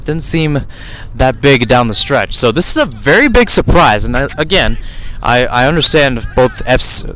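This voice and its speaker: A man's voice: medium pitch, sing-song, moderate pace, pushed and bright. A man calling live soccer play-by-play for radio from outdoor fields, talking in a rambling way and often correcting himself.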